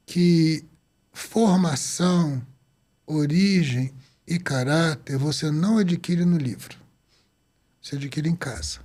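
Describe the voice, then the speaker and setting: A man in his seventies from the Azores, a doctor telling his story in an interview, lively and acting out the dialogue he recalls. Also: a little high. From Azores, Portugal. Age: 70s. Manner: lively, acts out quoted dialogue. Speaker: doctor guest.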